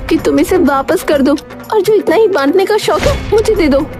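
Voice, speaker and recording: A woman voicing an agitated village wife with a shrill, scolding, questioning manner in a cartoon story. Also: animated, normal speed, music in the background. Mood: indignant.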